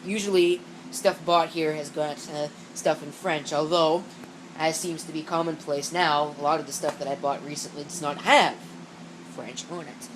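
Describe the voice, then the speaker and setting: Woman with a low voice doing a casual unboxing, matter of fact, rambles a bit, some background hum on a camera mic. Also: normal speed. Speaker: gadget reviewer showing an unboxing. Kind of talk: casual unscripted product walkthrough, reading packaging aloud. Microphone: built-in camera microphone. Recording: steady background hum, amateur camera audio.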